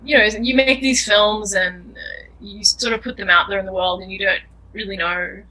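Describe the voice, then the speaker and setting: A woman's voice: mid-range voice, fairly even intonation, moderate pace, pushed and bright, poor audio. A young woman filmmaker answering interview questions in an earnest, thoughtful way, often searching for words. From Australia.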